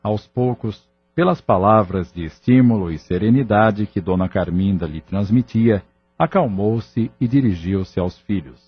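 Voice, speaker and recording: A man's voice: fairly low pitch, a little slow, slightly rough. A man with a Brazilian accent narrating a scripted audio novel in a dramatic storytelling style. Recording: slightly muffled.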